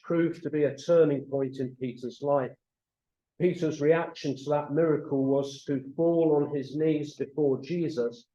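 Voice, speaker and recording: A man delivers a sermon in a measured, explanatory storytelling manner. His voice is mellow and a little high for a man. The room echoes around him and the recording sounds slightly dull. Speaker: preacher. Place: church meeting room, also streamed online.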